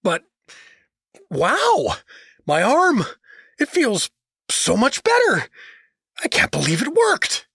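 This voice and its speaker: A man's voice: very high-pitched, huge pitch swings, slow pace, creaky, long pauses, bright, forceful tone, slightly rough, no echo, studio quality, heavily acted. An actor playing a hapless, sarcastic everyman hero in a comedic fantasy audio drama, joking and hesitant. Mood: amazed.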